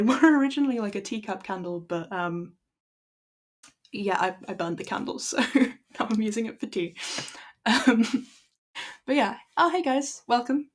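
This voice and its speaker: A young Irish woman with a croaky voice, chatting casually to her stream and then reading a classic story aloud in a steady, measured way. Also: mid-range voice. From Ireland. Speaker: livestream book reader.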